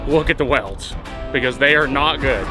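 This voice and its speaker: A man's voice: mid-range voice, moderate pace, rough recording. A young man, vlogging casually and joking while driving an open-top old Jeep outdoors, recorded on a mounted camera. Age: young adult. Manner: excitable, joking, swears casually. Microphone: mounted action camera. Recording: loud music under the voice.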